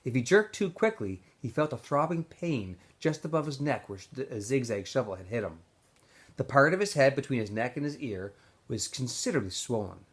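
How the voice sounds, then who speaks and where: Man reading a novel aloud, very animated pitch. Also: brisk. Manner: informal, stumbles and corrects himself while reading. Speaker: teacher reading aloud to students. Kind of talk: read-aloud storytelling of a children's novel.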